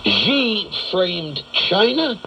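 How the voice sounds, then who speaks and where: A man delivers news commentary as a radio host, emphatic and deliberate, with dramatic phrasing. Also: high voice, wildly swooping pitch. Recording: noisy, muffled shortwave radio reception. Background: shortwave radio static.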